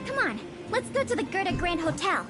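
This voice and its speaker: Eager young investigator girl from a video game, high n bouncy voice, earnest, music under it. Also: big pitch swings, fairly quick. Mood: excited. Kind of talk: video game character dialogue. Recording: music behind the voice. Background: game background music.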